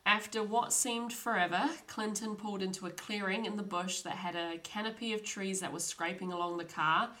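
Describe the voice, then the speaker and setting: A woman's voice: mid-range voice, fairly even intonation, moderate pace, hardly any pauses, sharp tone. A young woman hosting a podcast, telling a true crime story in a chatty, casual way with frequent laughs. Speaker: podcast host.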